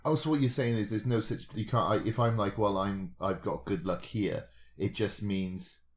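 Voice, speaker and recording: A man's voice: lowish voice, moderate pace. A man co-hosting a podcast, joking and bantering in casual conversation. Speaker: podcast co-host.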